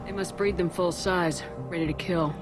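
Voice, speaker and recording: A tough female commander played by an actress, her voice on the low side. She is composed, direct and dry in video game dialogue, with music clearly behind her. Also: fairly even intonation, normal speed, lightly acted. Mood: cool, probing.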